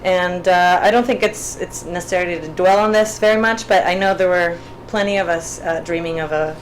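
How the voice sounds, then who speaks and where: A fairly low-pitched young woman from Puerto Rico gives a panel talk in a hesitant, stop-and-start way, often repeating words as she finds her thoughts. There is a faint hum of noise behind her at the event. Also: fairly even intonation, moderate pace, rough recording. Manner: hesitant, many restarts and fillers. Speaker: panel speaker, artist. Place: event room.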